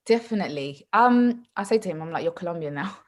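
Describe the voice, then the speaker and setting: A woman born in England, a mother talking in a casual, animated way with lots of asides and restarts. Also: lowish voice, fast. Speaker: mother and podcast guest. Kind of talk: casual conversational chat.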